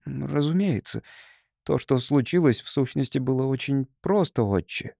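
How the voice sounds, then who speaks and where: A man's voice: medium pitch, expressive, moderate pace, vocal fry, dark tone, no room sound, clean studio sound. A man voicing a humble, timid young monk in an audiobook, meek and hesitant.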